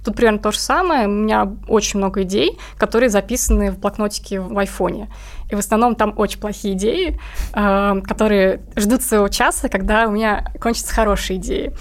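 A woman's voice: mid-range voice, fairly quick. Informal woman explaining in casual conversation. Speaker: interview guest, comic artist.

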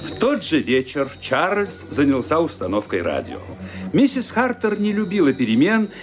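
An actor narrating a detective radio play as a wry storyteller, in dramatised scripted narration. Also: male voice, fairly high pitch, wildly swooping pitch, normal speed. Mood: wry storytelling. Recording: radio play with faint music behind.

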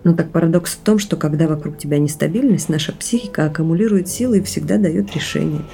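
A woman's voice: low-pitched, fast talker, creaky, warm tone, slight background noise. An actress playing a candid, reflective woman in an audio drama, talking in a confiding, opinionated way. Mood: frank, resolute. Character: candid, reflective woman, intimate partner hoping for motherhood.

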